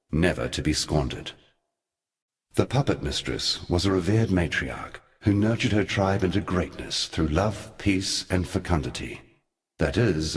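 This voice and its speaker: Sin, a very deep brooding god. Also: male voice. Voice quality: very deep, weighty voice. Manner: measured, theatrical, wry storytelling. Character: Sin, ancient brooding male god, sardonic and eloquent. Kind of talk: scripted video game character monologue.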